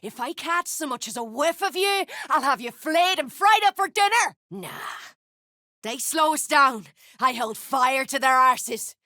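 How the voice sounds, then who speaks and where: A woman's voice: high voice, animated, measured pace, pushed and bright, dry recording, studio quality, exaggerated voice. An actress playing a tough, greedy female duergar mercenary in a video game, blunt, coarse and threatening. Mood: threatening, irritated. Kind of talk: video game character dialogue.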